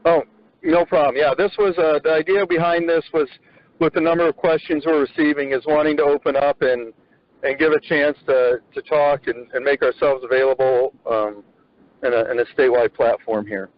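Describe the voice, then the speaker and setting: A man hosting a conference call, speaking haltingly with repeated words and many fillers. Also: a little high, steady tone. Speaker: host of an agricultural education conference call. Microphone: phone on a conference call. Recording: muffled conference-call audio.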